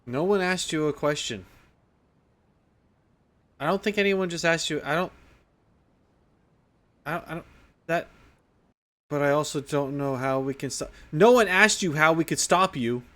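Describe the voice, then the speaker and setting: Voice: mid-range voice, a little slow, pauses often, fairly bright tone. Streamer doing casual game commentary, blunt n sarcastic, keeps repeating stuff for emphasis.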